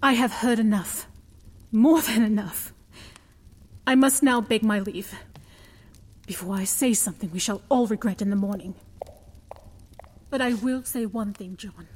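A woman's voice: medium pitch, measured pace, long pauses, darkish tone, long reverb tail, natural voice. A woman playing defiant Marion. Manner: firm, formal and indignant. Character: defiant noblewoman Marion, young and principled. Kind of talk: audio drama acting.

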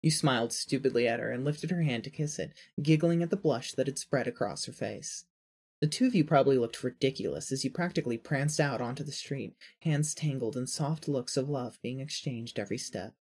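A man's voice: medium pitch, brisk, a little mellow, slightly rough. A man reading scripted fan fiction narration as the storyteller.

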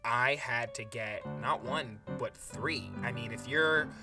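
An actor playing an excitable, privileged young man co-hosting an amateur book podcast, rambling and self-satisfied in casual improvised comedy. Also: mid-range voice, slow, far from the mic, over music, natural voice. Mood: smug, rambling.

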